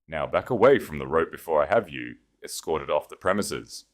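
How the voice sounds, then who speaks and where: A man acts out a gruff, officious male bouncer in a romance audiobook. The voice is deep, with a stiff gatekeeper's manner that bends to rank. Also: expressive, normal speed. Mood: patronizing. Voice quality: gruff, grunting. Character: burly, dismissive, patronizing male nightclub bouncer turning servile.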